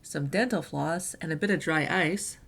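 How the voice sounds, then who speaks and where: A woman reading a children's picture book aloud in an expressive storyteller's delivery. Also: low-pitched, normal speed, creaky, a little hiss or hum.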